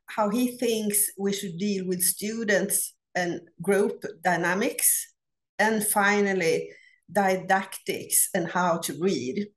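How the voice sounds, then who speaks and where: A middle-aged Swedish woman presents her research over a laptop webcam. She speaks in English with a Swedish accent, at an unhurried, very slow pace. Her delivery is careful and deliberate, with small hesitations between phrases. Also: mid-range voice. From Sweden. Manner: measured, deliberate, with frequent "uh" pauses. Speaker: academic researcher presenting a paper. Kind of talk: prepared conference talk. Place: home office. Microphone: laptop webcam on a video call.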